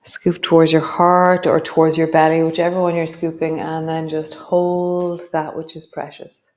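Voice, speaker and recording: A middle-aged woman, gently guiding a yoga class with a slightly stuffy nose. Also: sits low, level tone. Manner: gentle, encouraging, drawn-out cues. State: stuffy nose from a coming cold. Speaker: yoga teacher. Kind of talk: guided yoga class instruction.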